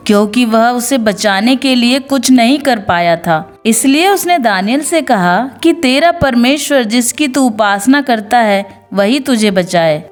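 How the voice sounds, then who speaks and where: A woman narrating scripted Bible stories in an earnest devotional storytelling style. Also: fairly high pitch. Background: background music.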